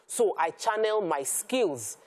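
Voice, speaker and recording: A Nigerian-accented woman shares her opinions on a talk show panel. Her voice is a bit low for a woman, and her delivery is conversational. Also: very animated, slow pace, a little mellow.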